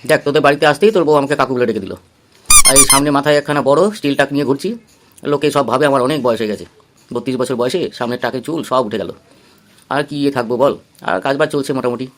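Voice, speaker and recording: A man's voice: medium pitch, steady tone, very fast, lightly acted. A man playing a glum, self-pitying balding friend in his thirties, grumbling plaintively in an amateur comedy cartoon.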